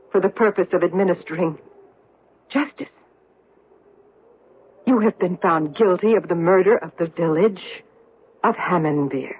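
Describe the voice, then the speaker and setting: Vengeful, husky-voiced woman in radio drama. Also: mid-range voice. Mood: accusing, stern. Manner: dramatic, deliberate, accusing. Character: vengeful woman survivor holding her enemy at gunpoint. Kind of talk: old-time radio drama acting. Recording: muffled vintage radio recording.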